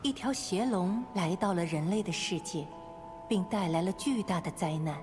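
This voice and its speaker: An actress narrating a fairy tale as a gentle storybook narrator in a video game. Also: female voice, on the low side, moderate pace, over music, close to an everyday voice. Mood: storytelling.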